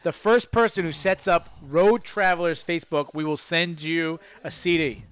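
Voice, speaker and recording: A man's voice: on the high side, normal speed, a bit bright, clear, resonant tone. A man hosting a casual live podcast, joking around and making mock announcements. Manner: joking, hype-announcer delivery. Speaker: podcast host.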